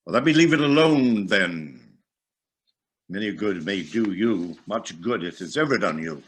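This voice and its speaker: A man's voice: fairly low pitch, animated, measured pace, fairly bright tone. A man voicing a miserly, bitter old Victorian businessman in a storybook reading, grumpy and emphatic. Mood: irritable.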